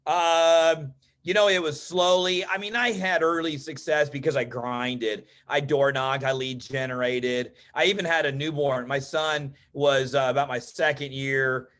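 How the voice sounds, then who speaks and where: An American man from Texas tells personal stories as a guest in an online meeting, in an informal, animated way that often acts out dialogue. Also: fairly high pitch, unhurried, few pauses. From Texas, United States. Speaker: interview guest, real estate agent and coach.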